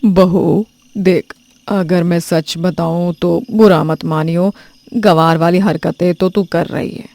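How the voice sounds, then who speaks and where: A woman's voice: fairly even intonation, vocal fry, a little mellow, no echo. Woman doing a firm, tired mother-in-law. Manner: lower, measured, advising. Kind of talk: cartoon story voice acting.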